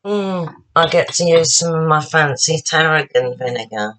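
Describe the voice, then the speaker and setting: A woman, slightly husky and tired, chatting casually to herself while making a salad at home. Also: deep voice, lively intonation, moderate pace, fairly bright tone. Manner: chatty, rambling, talks to herself while working. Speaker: home cook. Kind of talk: casual cooking demonstration.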